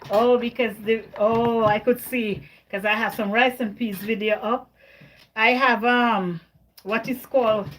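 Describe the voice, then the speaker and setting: A woman's voice: a little high, fairly bright tone, a little hiss or hum, rough recording. A woman from Jamaica hosting a casual livestream, chatting with viewers, answering their comments and often repeating her words. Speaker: livestream host.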